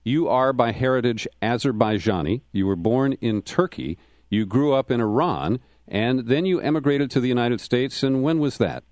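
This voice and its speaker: Measured male talk show host, dry sound. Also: mid-range voice, darkish tone, dry recording, lo-fi sound. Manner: measured, reads out web addresses slowly. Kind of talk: hosting a political interview.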